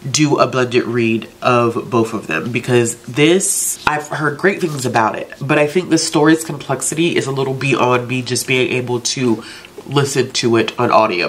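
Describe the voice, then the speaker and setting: A woman's voice: a bass voice, slight background noise. A woman with a congested, stuffed-up voice, hosting a casual book vlog and chatting in a self-chiding way about books she means to read. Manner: chatty, self-chiding, talks herself into reading. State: congested from allergies. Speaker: book vlogger, channel host.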